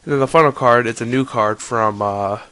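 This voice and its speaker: A man's voice: mid-range voice, normal speed, bright, forceful tone, dry recording. Stuffed-up young man explaining cards. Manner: rambling, self-correcting. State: stuffy nose from a cold. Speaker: card game player. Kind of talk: casual deck explanation.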